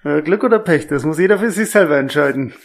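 A man co-hosting a horror movie podcast over a remote line, explaining things in a relaxed, easygoing way. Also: a little high, quick pace, creaky. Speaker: podcast co-host. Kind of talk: casual podcast chat.

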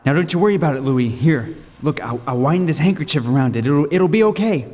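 A man's voice: a little high, expressive, quick pace, darkish tone. An actor playing a lighthouse keeper who tells his horror story with vivid, suspenseful intensity. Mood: soothing, nervous. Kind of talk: dramatic first-person narration in radio table read. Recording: roomy long reverb, faint noise.

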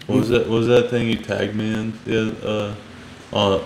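A man from Cuba trades crude jokes in a loose podcast chat and laughs often. His voice sits fairly low. The recording has an echoey room sound with faint background noise. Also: flat delivery, normal speed, creaky, a little mellow. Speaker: podcast co-host. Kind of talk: casual chat.

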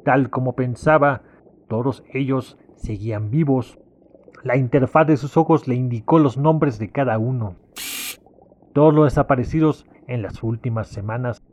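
A man's voice: medium pitch, normal speed, darkish tone, lo-fi sound. A man reading scripted narration for a sci-fi noir audio drama. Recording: clear background noise, ambient sound effects.

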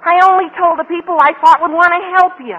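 A man playing an excitable, scheming teenage high school boy in a radio sitcom, with a squeaky, cracking voice and slangy talk. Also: quick pace, dull audio. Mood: eager, scheming. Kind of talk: 1950s radio sitcom acting. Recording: old radio recording, faint hiss.

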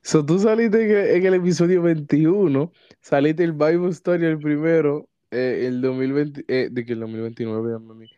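A man's voice: a little high, steady tone, normal speed, mellow, smooth, dry recording, dull audio. A man with a Dominican Spanish accent hosting a podcast, chatting casually and teasingly, slipping between Spanish and English. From Dominican Republic. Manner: teasing, jokey, mixes in English. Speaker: podcast host. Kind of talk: casual bilingual chat.

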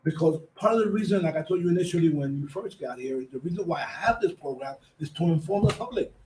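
Effusive Puerto Rican man, higher-pitched voice. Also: expressive, quick pace, dark tone, low-quality recording. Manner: effusive, repeats phrases, switches between English and Spanish. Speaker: radio host. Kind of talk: casual talk show chat. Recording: clear background noise.

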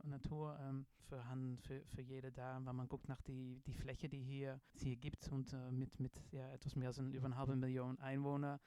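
A man's voice: mid-range voice, mellow, clean tone, no echo. A middle-aged man with a Dutch accent, a design professor answering in a podcast interview, hesitant with many fillers and restarts. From Netherlands.

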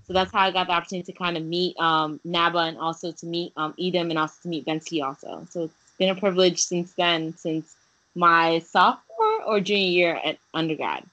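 Earnest young woman with a bright tone. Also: fairly low pitch, fairly quick, muffled recording. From Haiti. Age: young adult. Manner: earnest, many fillers and restarts. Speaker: guest. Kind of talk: casual interview.

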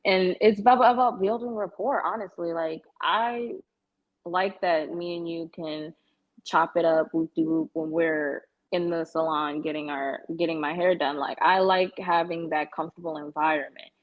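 A woman's voice: fairly low pitch, moderate pace, muffled recording. A woman guest chatting casually as a salon client, with a blunt, candid, slangy manner. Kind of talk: casual chat.

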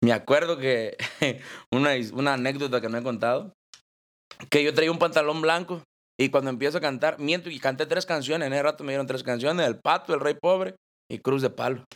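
A man's voice: mid-range voice, a little fast. A man in his twenties with a Mexican accent, a banda singer telling stories as the guest in a casual interview. He jokes, laughs and uses slang. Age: 20s. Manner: laughing, joking storyteller, slangy.

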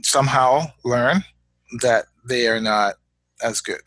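Husky-voiced man, dry close sound. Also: medium pitch, fairly bright tone, no room sound. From Canada. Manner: measured, halting, repeats words as he thinks. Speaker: interview guest; father of young children. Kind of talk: reflective interview talk.

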